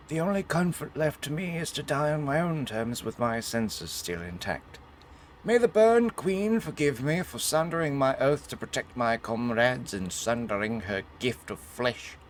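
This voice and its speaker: A man's voice: a little high, very animated, measured pace, slight background noise, pitched above the performer's own voice. A man voicing a despairing, doomed soldier's last confession from a video game, solemnly. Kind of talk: dramatic read-aloud of game text.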